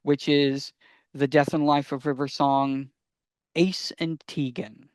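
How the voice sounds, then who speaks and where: A man's voice: fairly high pitch, level tone, takes it slowly, no room sound, studio quality. A man co-hosting a podcast in casual talk, eagerly explaining his recommendations and answering his own questions. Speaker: podcast co-host.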